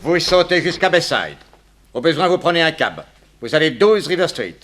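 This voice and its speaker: A man's voice: fairly high pitch, sing-song, moderate pace, fairly bright tone. An actor playing a brusque, self-important police inspector in a French radio detective drama, curt and bossy as he questions and gives orders. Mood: impatient, officious.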